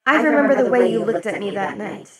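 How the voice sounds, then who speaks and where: A woman voicing a possessive, imperious demon queen in an ASMR roleplay, speaking slowly and sinking into soft whispers. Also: a little high, lightly acted. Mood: jealous, forceful. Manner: slow, velvety, dips into whispers. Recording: loud party crowd under the voice. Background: crowd chatter of a party.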